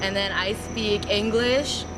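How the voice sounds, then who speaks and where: A woman's voice: mid-range voice, steady tone, slow pace, very noisy. A woman whose first language is Cantonese, a student orientation leader, chatting casually in interview answers with many fillers. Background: background music.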